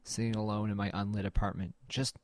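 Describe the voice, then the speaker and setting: A man's voice: on the low side, level tone, quick pace, darkish tone, no room sound, lightly acted. A man playing a brooding, melancholy artist who narrates an audio drama in a reflective, understated, slightly hesitant way. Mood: brooding, uneasy.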